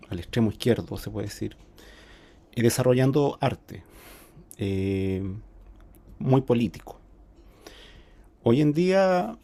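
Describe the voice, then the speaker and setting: A man in his forties with a Chilean accent, a student asking a hesitant, halting question in class. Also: medium pitch, vocal fry, dark tone. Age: 40s. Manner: hesitant, halting, many fillers and restarts.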